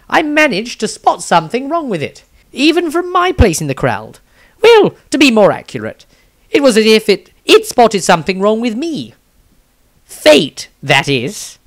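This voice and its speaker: A man's voice: high voice, a bit bright, exaggerated voice. A man voicing a fretful, self-effacing wizard engineer in a fantasy audiobook diary, with a British accent and fussy, wry asides. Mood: wry, anxious.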